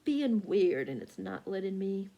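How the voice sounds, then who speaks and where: A woman's voice: medium pitch, big pitch swings, measured pace, creaky, warm tone. A woman chatting casually about upcoming books, rambling with lots of fillers and restarts while working a phone. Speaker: book vlogger. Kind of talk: casual chat.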